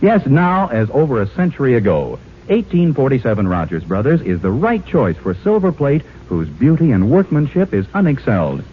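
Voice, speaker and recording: An actor playing a folksy, confident commercial announcer in 1940s radio advertising style. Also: male voice, medium pitch, huge pitch swings, normal speed. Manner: folksy, emphatic salesmanship. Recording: tinny, noisy vintage radio recording.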